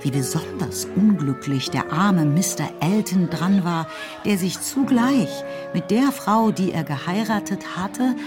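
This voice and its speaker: A woman's voice: lowish voice, expressive, a little slow. A woman narrating a literary radio play in a scripted storytelling style. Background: music.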